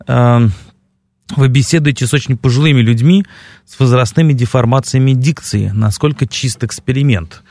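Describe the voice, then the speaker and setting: A male radio host talks through his show with plenty of hesitant ums. His voice has a gravelly, fry-tinged texture. It sounds dry and close, as if recorded on a studio mic. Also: mid-range voice, moderate pace, a little mellow, no room sound. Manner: hesitant, many filler sounds. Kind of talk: educational radio talk show. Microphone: studio microphone.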